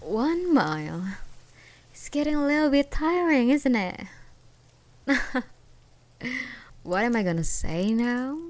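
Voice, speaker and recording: A woman playing an obsessed, unhinged ex-girlfriend in an ASMR roleplay, taunting and possessive in a sweetly menacing, giggly way with drawn-out words. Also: a little high, sing-song, slight background noise. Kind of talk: ASMR roleplay acting, one side of a conversation.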